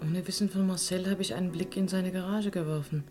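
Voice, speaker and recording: A sceptical, indignant female suspect played by an actress in a radio crime play. Her voice sits on the low side, pointed and questioning, with music behind it. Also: fairly even intonation, a little fast, darkish tone, lightly acted. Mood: reasoning. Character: sceptical, indignant, reasoning woman under police suspicion. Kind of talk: German radio crime drama acting.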